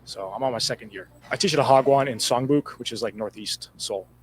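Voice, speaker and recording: A man's voice: mid-range voice, brisk, slight background noise. A young man, talking in a casual, chatty way with lots of fillers. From Texas, United States. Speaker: interviewee, English teacher.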